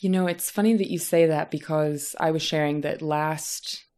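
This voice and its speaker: A woman with a low voice who hosts a relaxed interview. She talks in a chatty, animated way, full of casual fillers. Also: moderate pace. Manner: chatty, animated, many fillers like "you know". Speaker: podcast host.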